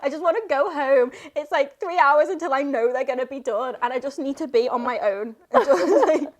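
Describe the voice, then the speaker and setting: A woman's voice: high-pitched, a little fast. Chatty woman in her thirties. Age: 30s. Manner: chatty, animated storyteller, laughs often. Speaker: podcast co-host. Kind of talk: casual chat.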